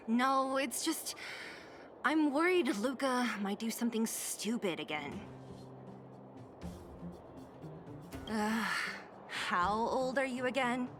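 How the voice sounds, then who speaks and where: A woman's voice: fairly high pitch, animated, slow pace. An actress playing a level-headed woman fighter who sounds dry and exasperated, with frequent sighs. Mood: worried. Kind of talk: video game voice acting. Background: game music.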